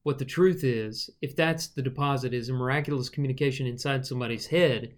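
A man's voice: mid-range voice, fast talker. A man co-hosting a Bible study discussion, reasoning through the text and posing rhetorical questions. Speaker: Bible study podcast co-host.

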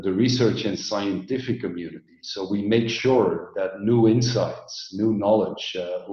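A middle-aged man from Belgium who heads an environmental agency, answering interview questions in a measured, explanatory way with frequent filler sounds. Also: lowish voice, a little mellow, echoey room, highs cut off.

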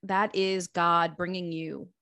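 A woman's voice: on the low side, level tone, slow, sharp tone, no echo. A woman interviewing a guest, speaking with emphatic admiration. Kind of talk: interview conversation.

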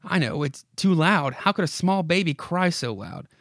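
A man's voice: fairly high pitch, lively intonation, moderate pace, fairly bright tone, dry recording, studio quality, voice pushed up. A man voicing an insistent haunted house from a horror comic, pleading and commanding in a dramatic way. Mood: urgent, coaxing. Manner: dramatic, commanding second-person address with repeated imperatives. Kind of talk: horror comic read aloud.

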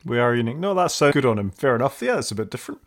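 Male co-host, quick upbeat chatter, gravelly edge. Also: medium pitch, big pitch swings. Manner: chatty, upbeat and self-deprecating. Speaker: podcast co-host. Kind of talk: casual chat.

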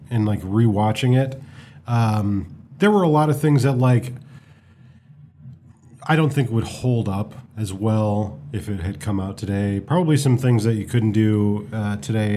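Mellow-voiced American man, hesitant and rambling. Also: on the low side, slight background noise. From United States. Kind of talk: casual film discussion.

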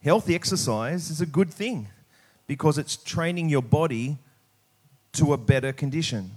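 A man's voice: fairly high pitch, lively intonation, normal speed, a little mellow, husky. Australian man preaching in a conversational way. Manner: conversational, informal, plain-spoken. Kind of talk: church sermon with prayer, scripture reading and casual anecdotes.

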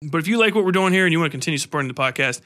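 Bright, higher-pitched man hosting a podcast. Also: expressive, racing. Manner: informal, slangy, swears. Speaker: podcast host interviewing an author. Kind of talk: casual podcast chat.

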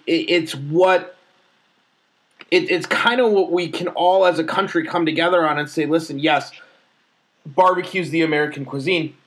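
A man hosting a relaxed conversation, speaking in a somewhat high voice. He stumbles over his openings and repeats words before finding his point. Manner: stammering restarts, relaxed. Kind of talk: casual chat.

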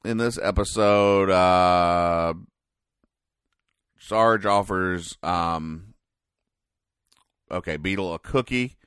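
A man with a deep voice gives casual commentary as a podcast host. He explains things with deadpan, mock-serious care, pausing and hesitating as he goes. The sound is dry and close, with little room in it. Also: clean tone, no echo. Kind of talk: casual comic-strip recap commentary.

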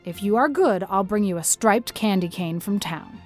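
A woman's voice: mid-range voice, animated, moderate pace, faint music behind, close to an everyday voice. A woman voicing a stern older woman who admonishes and instructs a child. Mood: admonishing. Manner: admonishing, prim.

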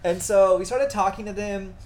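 A young woman co-hosting a podcast, recounting a night out in a relaxed, easygoing way. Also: medium pitch, moderate pace, slight background noise, rough recording. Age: young adult. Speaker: podcast co-host. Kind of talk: casual storytelling chat.

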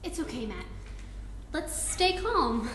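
A woman's voice: high voice, very animated, takes it slowly, fairly bright tone, heavily acted. A woman playing a supportive, peacemaking girlfriend with a firm streak in a staged comedic play reading. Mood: placating. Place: indoor room before a live audience. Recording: echoey live room, faint background noise.

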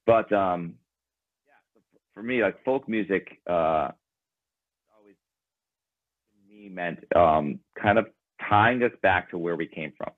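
Deep male guest, native Ukrainian speaker, loose and meandering, phone-quality dry audio. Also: slow, long pauses, dry recording. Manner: thoughtful, rambling with fillers. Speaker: band member guest. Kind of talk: casual interview conversation. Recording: tinny phone-like line.

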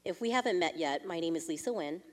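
A young adult woman from California giving a prepared, earnest testimony to a church, measured, with small restarts. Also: lowish voice, lively intonation. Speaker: church speaker sharing family story. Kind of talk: prepared testimony read aloud. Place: church sanctuary. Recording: long reverb of a church hall.

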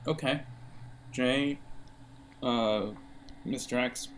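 A man running an improvised tabletop role-playing game, narrating in a halting, conversational way. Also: mid-range voice, steady tone, a bit bright, a little hiss or hum. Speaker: game master. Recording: music behind the voice. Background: music.

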